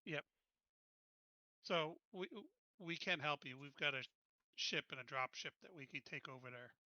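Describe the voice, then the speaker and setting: A man with a very sharp, forward voice on the higher side takes part in a tabletop game as a player. His talk is casual. The audio is dry and slightly dull, like voice chat. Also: normal speed, dry recording.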